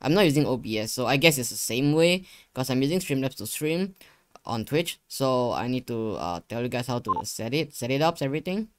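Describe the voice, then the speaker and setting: A young man giving a casual software tutorial, talking himself through each step in an informal, rambling way. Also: medium pitch, lively intonation, fairly quick, slight background noise. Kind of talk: casual screen-share tutorial. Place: indoor living room gaming setup. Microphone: close desktop USB microphone.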